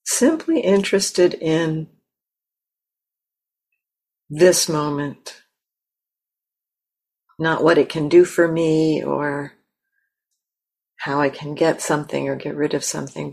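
A woman leading a guided meditation as a teacher, speaking gently and unhurriedly with long pauses. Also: low-pitched, vocal fry, slightly rough.

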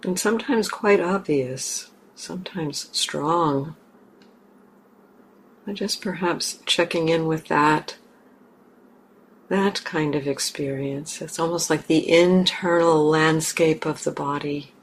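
A woman meditation teacher leading a guided meditation gently and haltingly, in short phrases with long pauses. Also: sits low, low-quality recording. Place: meditation center hall. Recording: clear background noise, room ambience.

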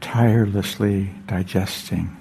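A man leading a guided meditation as a teacher, gentle and unhurried, leaving long pauses and repeating short phrases. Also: low voice, steady tone, gravelly, a little hiss or hum.

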